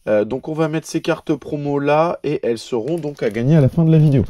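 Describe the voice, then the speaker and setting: A man with a French accent hosting a casual card unboxing, hesitating often and listing cards in a steady patter while he has a cold. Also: on the high side, fairly quick, no echo, clean studio sound. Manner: hesitant, frequent filler sounds. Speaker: YouTube channel host.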